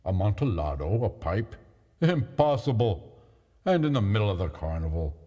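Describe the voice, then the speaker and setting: A man's voice: fairly low pitch, big pitch swings, normal speed, dark tone, lo-fi sound, voice pushed up. A man voicing a drunken, eager male wine connoisseur who exclaims insistently, in a reverberant recording. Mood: incredulous.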